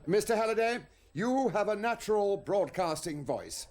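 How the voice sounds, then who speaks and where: A man's voice: sits high, animated, normal speed, darkish tone. An actor playing a polite, male broadcasting executive with a clipped, genteel manner. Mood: courteous. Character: plummy upper-class male broadcasting executive.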